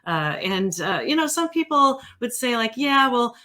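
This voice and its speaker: A woman's voice: fairly high pitch, very animated, normal speed, bright, forceful tone. A middle-aged or older woman from California with an American accent, hosting an interview in a casual, hesitant, rambling way. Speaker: interview host.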